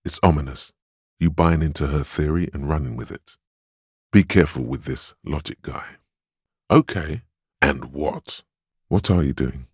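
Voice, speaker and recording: The inner voice of willpower in a video game. It is an extremely deep, slightly husky male voice, stern and weary, recorded dry and close. It narrates and admonishes deliberately, with grave, wry protectiveness. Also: a little mellow, no room sound, heavily acted. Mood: stern, wary. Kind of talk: dramatic second-person game narration and inner-voice dialogue.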